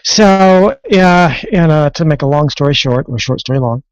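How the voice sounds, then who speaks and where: A man from California, a guest author, telling his story hesitantly, with lots of pauses and filler words. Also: on the high side, no room sound. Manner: hesitant, many fillers, halting. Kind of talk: radio interview. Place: radio studio. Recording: dry, dull-sounding radio studio audio.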